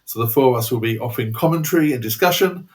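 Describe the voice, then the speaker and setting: A man's voice: medium pitch, expressive, very fast, darkish tone. An enthusiastic Australian man chatting casually as a podcast co-host, rambling along as he goes.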